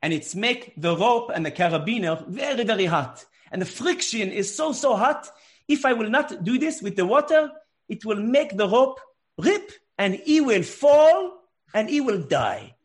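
A man's voice: high-pitched, big pitch swings, measured pace, voice pushed up. A man doing a blunt, matter-of-fact Israeli tour guide who speaks in broken English with an Israeli accent. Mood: explaining.

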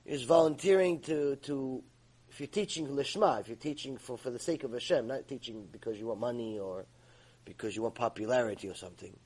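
A middle-aged man giving a Torah lecture, animated and often repeating words as he talks. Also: mid-range voice, a little fast, husky, low-quality recording. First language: Hebrew. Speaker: Torah teacher.

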